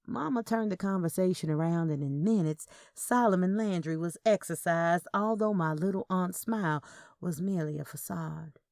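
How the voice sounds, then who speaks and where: A woman narrates a scripted first-person story. Her voice sits a little low and has a noticeable fry. The recording is dry, with no room sound. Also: normal speed, a little mellow, no echo. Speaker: audio fiction narrator.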